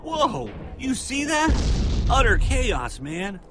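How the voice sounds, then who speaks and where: An actor playing an excitable, laid-back big young guy, a goofy and slangy sidekick in an animated video game. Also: very high voice, slow, music in the background, exaggerated voice. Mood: awed. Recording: game audio with background noise.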